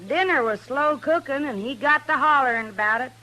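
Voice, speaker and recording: An actress playing a weary, plain-spoken mountain wife in a radio Western, with folksy Southern backwoods speech. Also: female voice, sits high, fairly quick, pushed and bright. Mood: plaintive, resigned. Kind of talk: old-time radio Western acting. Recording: noisy old radio recording.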